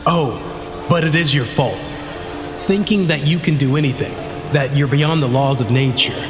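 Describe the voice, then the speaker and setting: A man's voice: medium pitch, moderate pace, close to an everyday voice. Man acting a bitter accusing colleague, heavy reverb. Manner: urgent, confrontational. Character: bitter, accusing male colleague in a sci-fi disaster. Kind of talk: audio drama acting. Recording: long echoing reverb under music.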